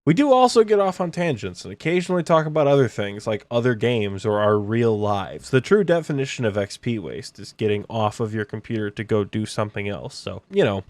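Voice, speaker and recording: A man's voice: lowish voice, expressive, fairly quick, hardly any pauses, a little mellow, no room sound. A man in his twenties co-hosting a podcast, tired and joking in casual chat. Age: 20s. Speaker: podcast co-host.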